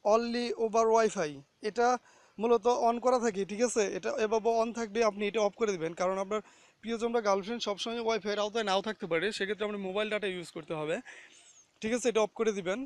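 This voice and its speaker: A man's voice: sits high, fairly even intonation, brisk, no echo. A man hosting a casual, step-by-step phone app tutorial, instructing listeners and often checking that they follow. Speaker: tutorial host.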